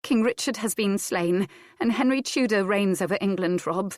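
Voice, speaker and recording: A despairing young noblewoman, freshly widowed, her voice pitched high and pleading as she weeps, as a woman reader puts her on. The sound is close and dry, read for a storybook. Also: moderate pace, no room sound, close to an everyday voice. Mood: grave. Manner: sobbing, tearful pleading. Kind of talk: audiobook character voice.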